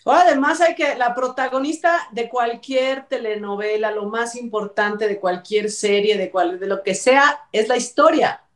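High-voiced Mexican woman host, distant mic. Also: measured pace, hardly any pauses. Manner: chatty, emphatic, sarcastic. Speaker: talk show host. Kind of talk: informal opinion commentary on entertainment news. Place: indoor room, video call.

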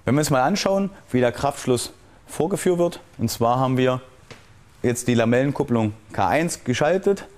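A man speaks as a technical instructor, walking step by step through an explanation. His pitch sits in the ordinary middle range for a man. He often halts mid-sentence to search for the right term before carrying on. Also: a little mellow.

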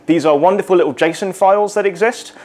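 A man's voice: high voice, brisk, a little mellow. A young man giving a casual, rambling technical talk at a meetup, with lots of fillers and asides. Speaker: conference speaker. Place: meetup room in front of a projected slide.

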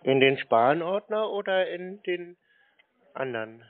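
A man's voice: sits high, lively intonation. Naive-sounding man on a tinny phone line. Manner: feigned naive, slow-witted, stalling. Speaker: prank caller posing as a naive customer. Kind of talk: casual phone conversation. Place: phone call.

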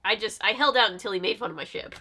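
A woman's voice: medium pitch, very fast, crowd noise. A woman in her twenties reacting casually in conversation, laughing and acting out imagined dialogue. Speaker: reaction video co-host. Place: small cosy lamp-lit room indoors.

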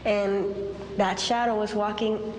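A woman giving a halting account of a personal experience as a witness. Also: medium pitch, flat delivery, background noise. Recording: very echoey space, music over it.

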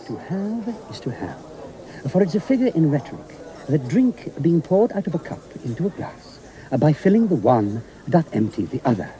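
A man's voice: a little high, big pitch swings, normal speed, warm tone, poor audio. A man playing a pedantic restaurant patron who declaims mock-Shakespearean rhetoric in a radio comedy. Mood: pompous.

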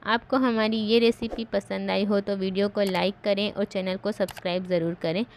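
A woman host giving calm, step-by-step voice-over narration for a home cooking recipe. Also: mid-range voice, moderate pace, slight background noise.

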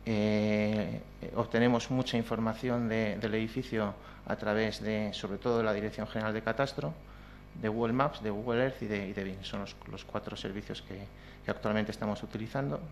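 A man's voice: on the low side, fairly even intonation, moderate pace, slight background noise. A man with a Spanish accent presenting a technical talk at a conference, hesitant with many fillers and restarts. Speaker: conference speaker. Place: lecture hall with projected slides.

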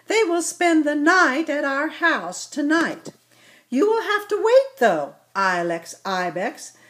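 A woman voicing a kind, patient mother ibex in a storybook, gentle and soothing. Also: high voice, very animated, slow pace, pitched above the performer's own voice, full character voice.

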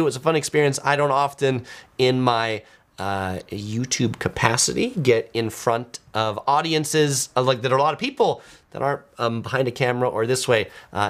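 A man in his forties with a middle-pitched voice hosts a casual livestream from a small home studio. He reads viewer comments aloud and answers them conversationally, as though speaking to one person across from him. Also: fairly bright tone. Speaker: host of a travel video channel.

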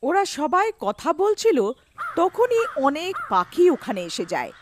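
A woman's voice: very high-pitched, huge pitch swings, a little slow, noisy background. A woman narrating a scripted cartoon story as its storyteller.

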